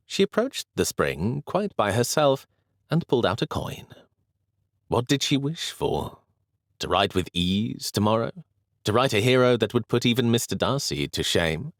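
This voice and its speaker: Male narrator for a historical novel audiobook, low voice with lively intonation, dry studio sound. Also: dry recording. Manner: measured, expressive storytelling.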